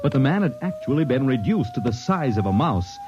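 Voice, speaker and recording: A man's voice: medium pitch, very animated, fairly quick. A man with an American accent announcing a 1950s radio show in a portentous, theatrical style. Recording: dull vintage radio recording, loud music.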